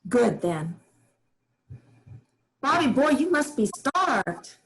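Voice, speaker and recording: A woman's voice: high-pitched, sing-song, extremely slow, fairly bright tone, exaggerated voice. A woman reading the part of a stern rural grandmother, in a staged play reading. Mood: coaxing. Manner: folksy, firm, drawling country talk. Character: stern rural grandmother, family matriarch, firm.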